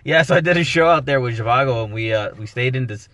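A man's voice: medium pitch, fast, fairly bright tone. A man, a comedian and podcast host, telling a rambling story with casual swearing. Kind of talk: casual chat.

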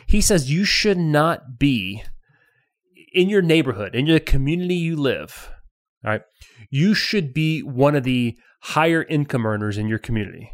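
A man co-hosting a casual personal finance podcast, talking in a playful, animated, teasing way. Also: on the high side, normal speed, dry recording, clean studio sound. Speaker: podcast co-host.

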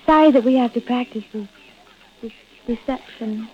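An actress playing an amorous, impatient young mistress with an intimate, yearning manner in 1940s radio drama style. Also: female voice, fairly high pitch, normal speed, close to an everyday voice. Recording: dull, lo-fi old radio recording.

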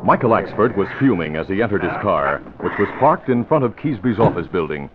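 A man narrating an old-time radio crime serial in a measured, dramatic style. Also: lowish voice, sing-song, music in the background. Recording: tinny, noisy vintage radio recording.

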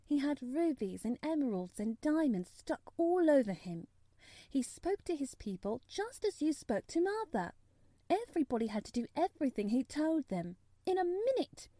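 A woman's voice: high-pitched, big pitch swings, normal speed, warm tone, no room sound, pitched above the performer's own voice. A woman voicing a frank, self-willed young girl who speaks earnestly and candidly in a storybook reading.

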